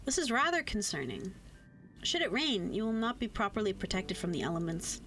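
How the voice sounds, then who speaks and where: A woman reads as a wry, gently ominous game narrator with a mid-pitched voice. The delivery is measured and literary, like a storybook told aloud, with music clearly underneath. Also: very animated. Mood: wry, foreboding. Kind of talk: dramatic reading of visual novel narration.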